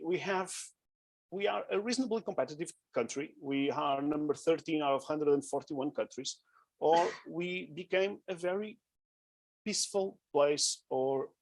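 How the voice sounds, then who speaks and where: Portuguese-accented man giving a husky talk. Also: a little high, darkish tone, no room sound, rough recording. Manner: informal, self-deprecating, with frequent fillers and restarts. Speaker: conference speaker. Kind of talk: conference presentation. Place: conference room.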